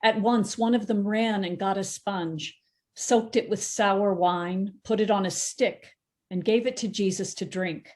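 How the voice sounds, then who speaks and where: A woman narrating a Bible passage at a church service. Her reading is steady and measured, with an occasional stumble that she corrects. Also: mid-range voice. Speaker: scripture reader.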